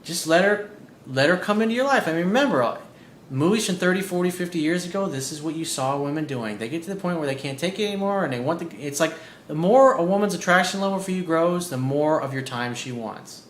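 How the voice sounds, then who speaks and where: A man working as a dating coach, giving blunt, profane advice as he reads out listener emails. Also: fast talker. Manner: blunt and profane, animated, repeats key points. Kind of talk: casual advice monologue reading out emails. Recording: roomy echo with faint low rumble.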